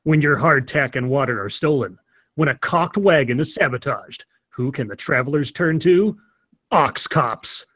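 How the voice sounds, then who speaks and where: A man's voice: medium pitch, expressive, measured pace, fairly bright tone. A man voicing a solemn narrator of a frontier cop show trailer in mock-dramatic, portentous style. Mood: grave, dramatic.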